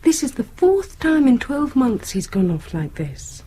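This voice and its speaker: Animated young British daughter, mellow. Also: female voice, high voice, measured pace, slightly rough, full character voice. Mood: exasperated. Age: young adult. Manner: probing, direct. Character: concerned, exasperated grown-up daughter. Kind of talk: naturalistic radio drama acting.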